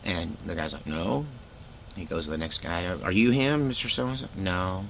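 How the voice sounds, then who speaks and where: A man's voice: low voice, normal speed, creaky, a little hiss or hum. A middle-aged man telling personal stories in a casual, rambling, laid-back way with wry self-deprecation. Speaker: storytelling vlogger, former youth pastor.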